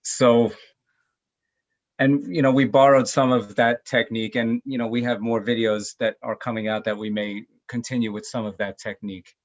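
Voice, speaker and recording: A man's voice: mid-range voice, level tone, moderate pace, lo-fi sound. A man musician being interviewed, talking in a laid-back, hesitant way with ums. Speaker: band bassist, interview guest. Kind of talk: casual interview chat.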